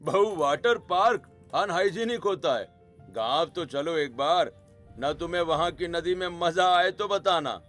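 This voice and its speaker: A man playing an agreeable middle-aged father-in-law in an animated family story, respectful and reassuring. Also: a little high, a little slow, faint music behind.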